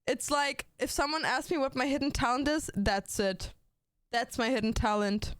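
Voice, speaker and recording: A woman's voice: high voice, moderate pace, fairly bright tone, dry recording, clean studio sound. A young woman streaming, chatting casually, swearing a lot and saying "like" and "bro" constantly. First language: German. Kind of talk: casual chat on a gaming stream.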